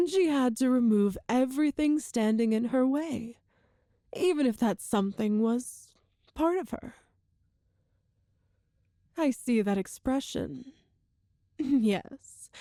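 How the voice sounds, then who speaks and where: Casting: female actor as ageless evil empress for ASMR roleplay drama; soft mellow tone, unhurried, grandiose, condescending, very dry close recording. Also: high voice, no echo, exaggerated voice. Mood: amused, condescending. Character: cruel, ancient immortal sorceress empress, smugly superior.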